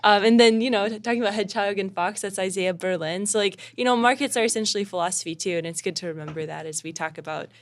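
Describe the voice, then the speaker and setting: A young woman chats as a podcast guest, sprinkling her talk with "like" and "you know." Her pitch is ordinary for a woman. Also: fast talker, hardly any pauses. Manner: chatty, uses many fillers like "like" and "you know". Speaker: guest, newsletter writer. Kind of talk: casual panel chat.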